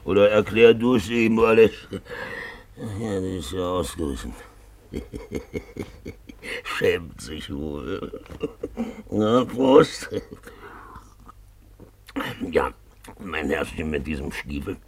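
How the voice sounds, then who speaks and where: An actor playing a boozy, jovial, lecherous gentleman in a 1950s German radio drama, gravelly and theatrical, going from grumbling mumbles to loud wheedling cries. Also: deep voice, expressive, takes it slowly, pauses often, slight background noise, exaggerated voice. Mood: amused, bragging. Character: boozy, roguish Russian gentleman found alive, jovial lecher.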